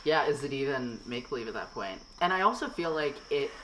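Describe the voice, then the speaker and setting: A woman's voice: very deep voice, expressive, brisk, slight background noise, poor audio. A woman hosting a casual podcast chat, talking reflectively with many fillers.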